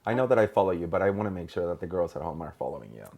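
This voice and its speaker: A man's voice: low voice, expressive, very fast, creaky, a little mellow, breathy edge. A man hosting a podcast interview, asking curious questions and laughing easily. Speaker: podcast host. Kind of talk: casual interview.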